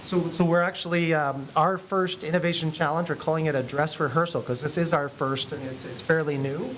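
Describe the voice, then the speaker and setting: A man's voice: a little high, steady tone, a little fast, a bit bright. A man, a city IT director, explaining step by step on a panel. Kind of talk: panel discussion. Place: university event hall. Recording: echoey room with hiss.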